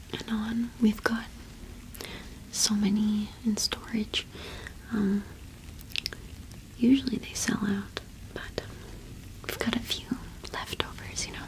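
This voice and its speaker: A young woman whispering in a breathy, gentle, unhurried way, as in an ASMR roleplay. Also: on the high side, poor audio. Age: young adult. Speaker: ASMR host playing a shopkeeper.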